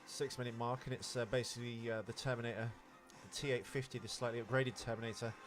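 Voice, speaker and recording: With faint music underneath, a man talks casually as a podcast co-host. His voice sits at an average pitch. He rambles in a jokey way through talk about movies. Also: little pitch movement, fast talker. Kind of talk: casual film chat.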